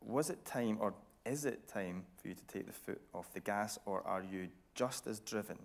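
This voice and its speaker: A man interviewing on stage in a measured, probing way. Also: on the low side. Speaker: interviewer, TV reporter. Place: radio theatre stage.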